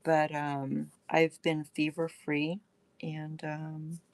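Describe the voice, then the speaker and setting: Slow, gravelly-voiced woman crafter. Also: sits low, monotone, a little mellow. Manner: chatty, greets viewers while demonstrating. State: recovering from illness, gravelly throat. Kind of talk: casual live crafting stream. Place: home crafting space.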